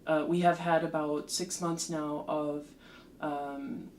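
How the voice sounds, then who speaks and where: Slow, measured woman. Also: low voice, level tone, darkish tone. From Slovakia. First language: Slovak. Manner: measured, with frequent ums and restarts. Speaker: translator and lecturer, guest. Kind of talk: thoughtful interview answers. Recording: roomy echo with faint background hum.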